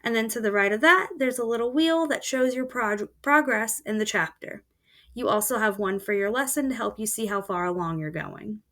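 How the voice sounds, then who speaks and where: A woman's voice: on the high side, normal speed. A woman giving a step-by-step voice-over tutorial of a language learning website. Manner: steady explanatory voice-over. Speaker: student presenter. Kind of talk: screen-recorded tutorial walkthrough.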